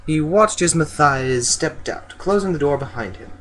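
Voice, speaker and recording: A man's voice: a little high, lively intonation, normal speed, a bit bright. A man reading a story aloud as an informal amateur dramatic narrator, sometimes stumbling and correcting himself.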